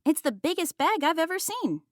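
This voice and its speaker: A woman's voice: extremely high pitch, sing-song, normal speed, no echo, studio quality, voice pushed up. A woman voicing a bossy, sensible big sister mouse in a children's storybook, matter-of-fact and a little chiding. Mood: helpful. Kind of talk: children's picture-book read-aloud.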